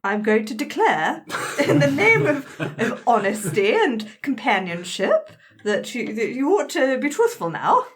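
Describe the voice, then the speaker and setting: Lively, gently prim woman playing a tabletop game. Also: fairly high pitch, unhurried, a little hiss or hum. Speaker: tabletop roleplaying player. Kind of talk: casual improvised in-character game chat.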